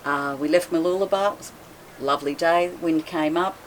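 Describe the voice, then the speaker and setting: Low-pitched woman, chatty storyteller, faint background noise. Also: a little fast, low-quality recording. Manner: chatty, laughs often, lively retelling. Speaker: guest boat owner. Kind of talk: casual storytelling chat.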